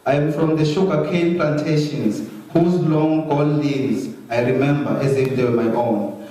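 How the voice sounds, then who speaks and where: A man's voice: fairly high pitch, steady tone, unhurried, warm tone. A man with a Swazi accent reciting his own poem aloud to an audience. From Eswatini (Swaziland). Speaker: poet reading own work. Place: large echoey room, live event. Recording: very echoey room, distant mic.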